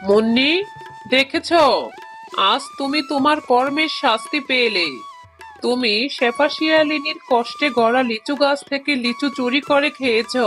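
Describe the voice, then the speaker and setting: Woman as a high, preachy cartoon animal. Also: fairly bright tone. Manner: admonishing, preachy. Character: admonishing, moralising animal character. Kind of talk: children's cartoon voice acting.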